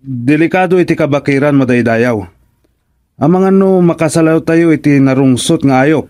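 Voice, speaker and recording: A man's voice: a little high, moderate pace, darkish tone, natural voice. A man playing a timid, deferential soldier in a radio comedy drama, hesitant and respectful in his speech. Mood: fearful.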